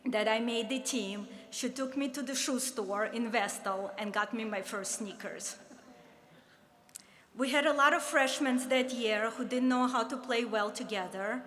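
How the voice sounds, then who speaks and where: A woman's voice: a little high, measured pace, a bit bright, a little hiss or hum. A woman in her fifties with a Russian accent, earnestly reminiscing in a ceremony speech. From Soviet Union. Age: 50s. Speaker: former player giving an induction speech. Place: large hall at an awards ceremony. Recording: echoey hall with faint ambience.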